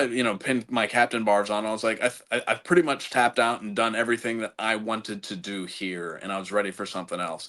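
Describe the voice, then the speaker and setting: A man's voice: lowish voice, fairly bright tone. A middle-aged man from the United States, an Army veteran officer, talking casually as a podcast guest, often restarting and repeating words. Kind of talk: casual conversational interview.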